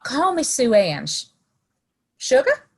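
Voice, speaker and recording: A woman playing a friendly, bold frontier townswoman in a western audio play, slightly husky and chatty. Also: a little high, huge pitch swings, takes it slowly, full character voice. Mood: playful, friendly.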